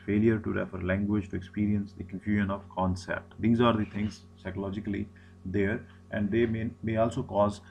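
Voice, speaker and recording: A man's voice: fairly low pitch, gravelly, darkish tone, a little hiss or hum, low-quality recording. Lecturing man. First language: Urdu. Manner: repetitive, many restarts and fillers. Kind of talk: recorded lecture.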